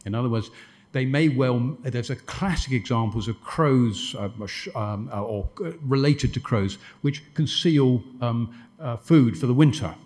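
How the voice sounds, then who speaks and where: A man's voice: mid-range voice, a little slow, a little mellow. A man answering questions after his lecture, discursive, with stumbling restarts and dry wit. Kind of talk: conference Q&A answers.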